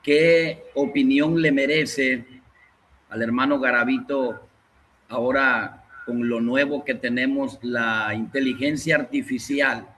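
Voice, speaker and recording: A medium-pitched man you'd hear taking his turn at an online conference, courteous and formal, faint noise behind him. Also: fairly even intonation, takes it slowly, a bit bright, poor audio. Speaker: webinar participant asking a question. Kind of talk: polite, formal question to a speaker. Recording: online call with clear background noise.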